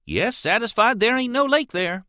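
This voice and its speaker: A man's voice: extremely high pitch, lively intonation, moderate pace, sharp tone, no room sound, studio quality, voice pushed up, full character voice. A man voicing a scornful, argumentative adolescent boy, lecturing emphatically in an audiobook. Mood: smug, firm. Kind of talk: audiobook character voice.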